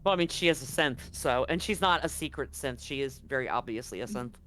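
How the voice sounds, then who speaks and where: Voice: mid-range voice, lively intonation, brisk, pushed and bright. Game master talking over background noise. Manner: informal, conversational, explanatory. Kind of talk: casual talk running a tabletop role-playing game. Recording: clearly noisy background.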